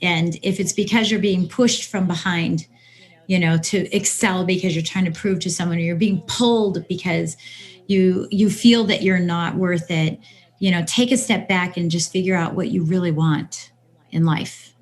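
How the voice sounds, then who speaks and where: A woman's voice: lowish voice, fairly even intonation, normal speed. An older woman, around sixty, talking conversationally as an interview guest, giving motivational advice and repeating phrases for emphasis. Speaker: podcast guest, business coach.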